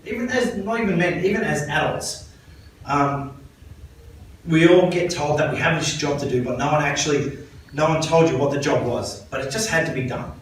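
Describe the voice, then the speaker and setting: A man in his thirties with an Australian accent, giving a measured, earnest personal talk to an audience as a volunteer speaker, with light self-deprecating humour. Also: medium pitch, slight background noise. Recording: echoey room, speaker far from mic.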